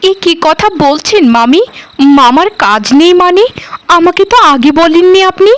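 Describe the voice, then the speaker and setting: A woman's voice: extremely high pitch, expressive, normal speed. A woman voicing a poor, humble young orphan housemaid in a cartoon, speaking in a pleading, deferential and emotional way. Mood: pleading, distressed. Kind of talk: cartoon voice acting.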